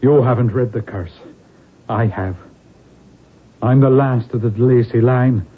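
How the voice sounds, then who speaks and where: An actor playing a fearful, fatalistic gentleman and father in a theatrical 1970s radio drama. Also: medium pitch, a little slow, husky, phone-quality sound. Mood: grave, resigned. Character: doomed, fearful Irish gentleman father, last of his line. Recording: band-limited old radio recording.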